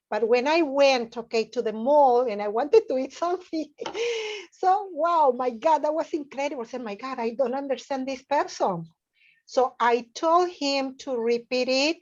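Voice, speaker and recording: A woman's voice: a little high, a little mellow. A woman whose first language is Spanish, a teacher who talks chattily, filling her speech with "okay" and "right". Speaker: teacher, audience participant. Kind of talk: casual thanks and anecdote. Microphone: video call. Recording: dull lo-fi call audio.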